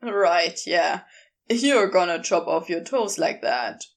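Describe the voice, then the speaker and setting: A woman's voice: on the low side, takes it slowly, gravelly, voice pushed down. A woman voicing an easygoing, teasing, encouraging man in audiobook character dialogue. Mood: teasing, instructive.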